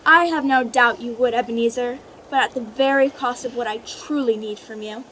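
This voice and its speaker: A woman's voice: fairly high pitch, fairly even intonation, normal speed, a bit bright, a little hiss or hum, lightly acted, poor audio. A woman playing a sorrowful but resolute young woman in a radio play, speaking earnestly and formally. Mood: sad, resolute. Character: sorrowful, resolute young woman leaving her greedy fiance. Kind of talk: live-audience radio play acting.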